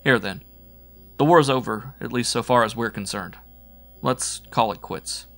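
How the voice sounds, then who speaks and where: An earnest, subdued wounded space scout read by a man in a science fiction story. The voice is middle-pitched and natural, with a creaky grain. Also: a little slow, fairly bright tone, faint music behind.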